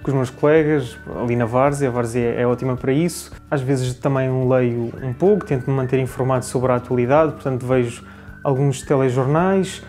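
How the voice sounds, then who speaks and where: A man's voice: medium pitch, fairly quick, a little mellow, soft background music. A twenty-year-old man with a European Portuguese accent, speaking in an articulate, orderly way into a lapel mic.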